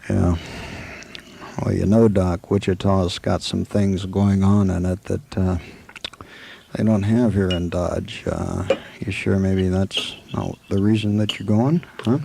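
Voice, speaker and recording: A laconic western marshal from a radio play, acted by a man. He speaks in a low, heavily creaky voice with a halting, easygoing, folksy delivery. Clear noise runs under the old amateur recording. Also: fairly even intonation, dark tone, exaggerated voice.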